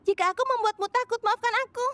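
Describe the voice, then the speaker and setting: A woman voicing a young girl witch in a children's cartoon, earnest, caring and expressive. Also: very high voice, fast talker, background noise. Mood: shocked, worried. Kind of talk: children's animated cartoon dub. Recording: music behind the voice.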